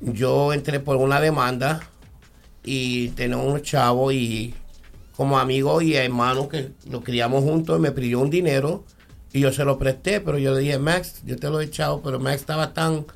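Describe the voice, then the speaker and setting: A man's voice: medium pitch, steady tone, creaky. A man with a Puerto Rican accent, a guest telling his story in an interview, often stopping to repeat words and asking if he is understood. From born in New York, raised in the Bronx. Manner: halting, repeats words, asks "¿entiende?".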